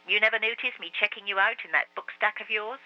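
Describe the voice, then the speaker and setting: Streetwise talking bat, peevish and lazy, played by the reader; lower, drawling; lo-fi recording. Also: male voice, fairly even intonation, fast talker, natural voice. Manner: slangy tough-guy drawl, calls everyone kiddo. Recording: dull lo-fi radio recording.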